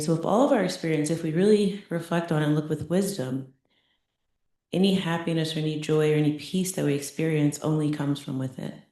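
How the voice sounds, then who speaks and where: Low-voiced woman teacher in an echoey room. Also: warm tone. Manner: chatty, often ends points with "right?" and laughs lightly. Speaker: Buddhist meditation teacher. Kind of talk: conversational dharma talk. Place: meditation center teaching room.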